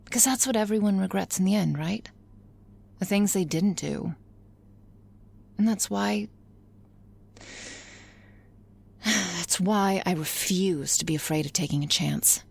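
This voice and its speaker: A woman's voice: medium pitch, gravelly, husky, exaggerated voice. A woman playing a blunt, confident female office boss who talks frankly and wryly in an intimate ASMR roleplay. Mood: earnest. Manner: frank, profane, wry, musing with hesitant pauses. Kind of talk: intimate ASMR roleplay, conversational monologue to listener.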